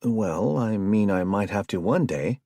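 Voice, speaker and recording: A man voicing an excitable, geeky young man who rambles in a high, theatrical voice. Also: a little slow, no room sound, clean studio sound. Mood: rambling.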